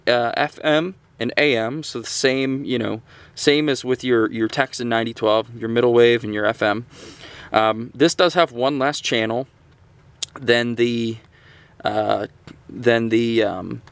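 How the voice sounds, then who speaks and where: A man reviewing a gadget in a casual, unscripted, conversational and somewhat halting way. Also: mid-range voice, gravelly. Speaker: gadget reviewer, host of a radio channel.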